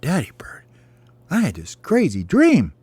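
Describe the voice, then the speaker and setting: Man as a cocky little bird knight, slow. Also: on the high side, huge pitch swings, a little mellow, husky, no echo, pitched above the performer's own voice, full character voice. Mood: excited. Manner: declamatory, mock-heroic.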